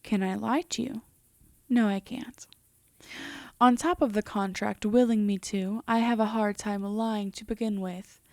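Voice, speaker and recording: A woman playing a composed, teasing female demon in an intimate roleplay audio drama, often slipping into a breathy half-whisper. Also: mid-range voice, steady tone, unhurried, darkish tone, no echo, studio quality, natural voice. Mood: smug, teasing.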